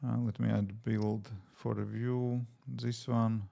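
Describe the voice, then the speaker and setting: A man's voice: medium pitch, flat delivery, takes it slowly, creaky, warm tone. A man from Latvia speaking English with a Latvian accent, chatting casually on a live coding stream and thinking aloud with many fillers. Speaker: app developer streaming live. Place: home desk.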